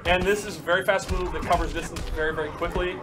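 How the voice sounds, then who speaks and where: A man's voice: high voice, fairly even intonation, fast talker. A man casually explaining fighting game moves in an instructive, enthusiastic way.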